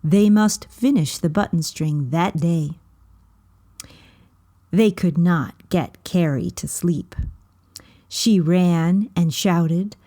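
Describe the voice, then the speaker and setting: A woman reading a children's story aloud as scripted narration, in measured, deliberate phrases with frequent pauses. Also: fairly low pitch, warm tone.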